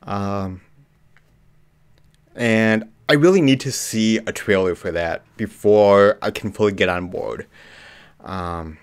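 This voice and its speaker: A man hosting a live movie chat, slightly hoarse with a cough, talking casually with lots of ums. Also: on the low side, takes it slowly, pauses often. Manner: relaxed, frequent ums and restarts. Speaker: livestream host. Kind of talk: casual live chat reading viewer comments.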